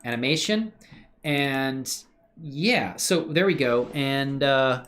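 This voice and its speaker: A man's voice: mid-range voice, lively intonation, slow pace, fairly bright tone. A man live streaming while he works on 3D models, chatting loosely with viewers in a rambling, self-deprecating way. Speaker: live streamer doing 3D work. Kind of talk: casual live-stream chat while working.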